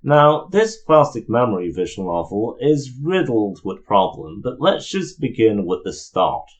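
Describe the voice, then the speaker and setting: A man's voice: on the low side, very animated, measured pace. A man giving an opinionated, explanatory video review in an informal commentary style.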